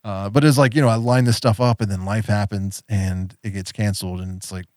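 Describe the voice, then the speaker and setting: A man's voice: on the low side, steady tone, fairly quick, darkish tone, no echo, studio quality. A man hosting a podcast in casual chat, rambling with lots of fillers and easy laughs. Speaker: podcast host.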